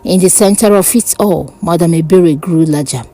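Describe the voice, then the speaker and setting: A woman narrating a dark fantasy folk tale in a dramatic, deliberate way. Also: on the low side. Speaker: storyteller. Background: background music.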